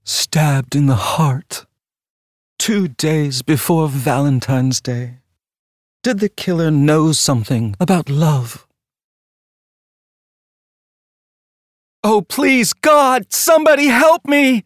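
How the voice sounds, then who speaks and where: A man's voice: fairly high pitch, expressive, no echo. An actor plays a male walking tour guide in an audio drama, a flippant showman with a taste for the macabre. He takes his time with each phrase in a wry, theatrical way. The sound is dry, with no room around it. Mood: theatrical, showy. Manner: theatrical, wry, drawn-out storytelling.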